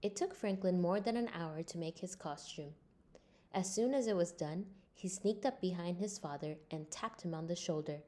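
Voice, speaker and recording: Woman reading a children's story, lowish voice. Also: normal speed. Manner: expressive, acts out character lines. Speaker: storybook reader. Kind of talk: scripted children's story read-aloud.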